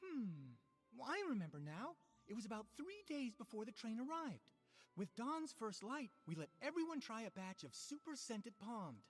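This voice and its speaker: A woman's voice: on the high side, sing-song, moderate pace, breathy edge, faint music behind. A woman playing a proud, earnest, easily indignant character in a video game, explaining things emphatically.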